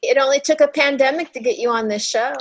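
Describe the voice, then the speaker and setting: Chatty higher-voiced woman host. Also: fast. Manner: chatty, probing questions. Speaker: podcast host. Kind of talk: hosting a comedy game podcast. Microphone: video call.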